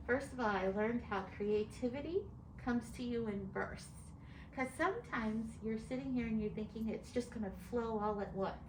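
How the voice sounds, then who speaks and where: A middle-aged American woman, an author explaining things step by step in an articulate way as she answers interview questions. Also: fairly high pitch. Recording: echoey room with clear background noise.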